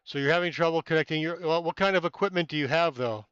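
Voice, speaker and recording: A man's voice: fairly high pitch, quick pace, fairly bright tone, clear, resonant tone, dry recording, highs cut off. A man hosting a casual amateur astronomy live stream from inside a small tent, chatting with viewers, thanking them by name, rambling with many fillers, and a little stuffy-nosed.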